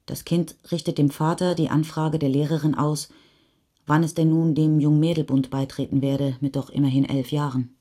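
A woman's voice: sits low, flat delivery, normal speed, warm tone. A woman reading literary narration as a narrator in a radio play. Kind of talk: literary third-person narration.

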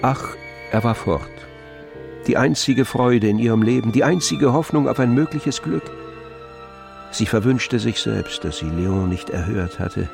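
A man narrating a radio play in a measured, grave, literary style. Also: mid-range voice, expressive, gravelly, darkish tone, soft background music.

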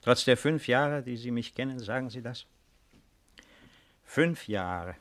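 An actor playing a proud, resigned baron awaiting arrest in a 1950s German radio play, speaking in a measured, formal, hesitant way. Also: male voice, mid-range voice, animated. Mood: reflective.

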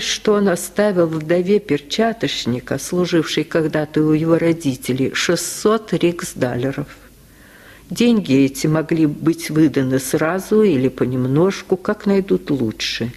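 A woman reading a literary tale in an expressive, theatrical manner, in the style of 1950s radio storytelling. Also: deep voice. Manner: slow, expressive, theatrical delivery. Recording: 1950s radio archive recording.

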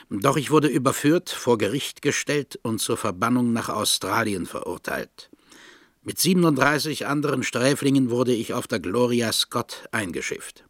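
A man acting a remorseful older father who narrates his confession in a radio drama, solemn and measured. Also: mid-range voice, a bit bright, dry recording, clean studio sound.

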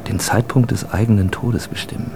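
A calmly menacing male stranger in a radio play, acted by a man, deep-voiced with a gravelly fry. He philosophises deliberately and keeps probing, over clearly audible background noise. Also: lightly acted. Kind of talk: German radio drama acting.

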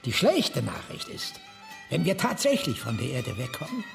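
Cynical crank philosopher in a radio play, gravelly, swoops all over, excitable and sardonic. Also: male voice, sits high, moderate pace, music in the background, heavily acted. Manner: declamatory, sardonic. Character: cynical, eccentric philosophizing brother, excitable and caustic. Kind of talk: radio drama dialogue.

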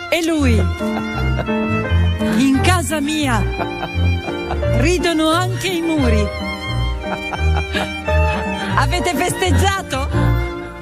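An actress playing a peasant woman recounting family memories, with deliberate, expressive theatrical phrasing in an echoing space. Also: sits high. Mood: intimate, excited. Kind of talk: theatrical storytelling over music. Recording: echoey hall with long reverb. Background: violin music.

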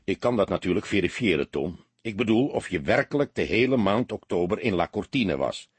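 A man acts out an older detective in an audiobook, patient and fatherly. He questions in a low, husky voice with a measured, insistent manner. The sound is dry. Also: expressive, no echo. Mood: probing.

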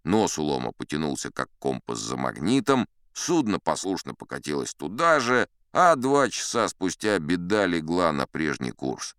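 An old sea captain spinning tall tales for an audiobook, in a very low voice. He is boastful and unflappable, smooth and resonant, savouring the story with wide swings of pitch. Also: very animated, normal speed, few pauses, fairly bright tone, no room sound, clean studio sound. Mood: pleased, confiding.

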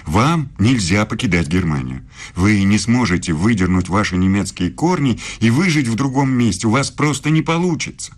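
An actor in a radio play voicing a self-assured, evasive German philosophy professor who speaks in a measured, pontificating way. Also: male voice, lowish voice, animated. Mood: insistent.

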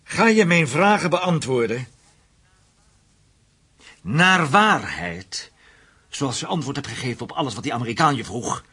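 A vengeful radio play interrogator, really raspy, pitch goes up and down a lot, sardonic and threatening. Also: male voice, very animated, measured pace, pauses often, sharp tone, full character voice. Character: hard, vengeful male investigator, survivor of Nazi camps.

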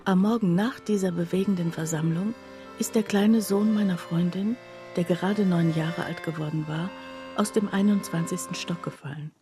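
A woman narrating a literary radio play in the first person, in a measured, hushed, reflective way. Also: lowish voice, over music, natural voice.

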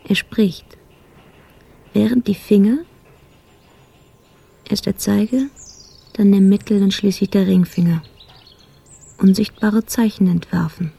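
A watchful narrator in a radio play. The voice is dark, husky and heavily creaky, hushed and precise, describing with detachment. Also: female voice, medium pitch, steady tone, takes it slowly, long pauses, heavily acted. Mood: hushed, observant. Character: hushed, watchful observer narrating a detective's gestures. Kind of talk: radio drama, close observational narration.

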